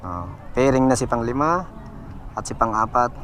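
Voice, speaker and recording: A man's voice: medium pitch, expressive, normal speed, fairly bright tone, a little hiss or hum. A Filipino man hosting a casual vlog, chatting and giving simple how-to instructions. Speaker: vlog host. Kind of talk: casual vlog chat and tutorial.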